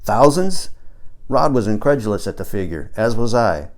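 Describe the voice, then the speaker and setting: A man's voice: lowish voice, sing-song, normal speed. A man reading his own book as scripted audiobook narration, with a literary, wry delivery.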